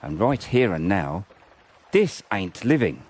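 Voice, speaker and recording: A man's voice: low-pitched, big pitch swings, slow pace, a little mellow, heavily acted. A man acting a cynical, fatalistic First World War private in a radio drama, with a blunt, colloquial manner. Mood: bitter, sardonic. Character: cynical, fatalistic WW1 private soldier in the trenches.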